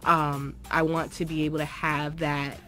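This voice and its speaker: A woman's voice: low voice, little pitch movement, unhurried, sharp tone. A young woman in a casual vlog, chatting enthusiastically with lots of "um" and "like," recorded on a phone held at arm's length in a small room at home. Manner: chatty and enthusiastic, many fillers like "um" and "like". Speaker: dance group member.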